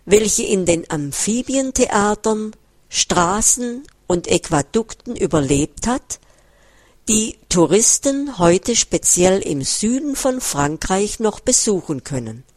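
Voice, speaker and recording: A woman reading a first-person book text aloud in a measured, careful way, close to a studio mic. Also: medium pitch, big pitch swings, darkish tone, no echo. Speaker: audiobook reader. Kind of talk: scripted first-person narration read aloud.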